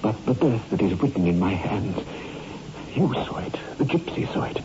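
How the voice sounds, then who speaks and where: A man's voice: fairly low pitch, animated, moderate pace, lightly acted. A man playing a tormented, desperate surgeon in a 1960s radio horror drama, speaking with urgent agitation. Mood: desperate, agitated. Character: tormented male surgeon, fearful, desperate, obsessed with death. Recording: muffled, noisy old radio recording.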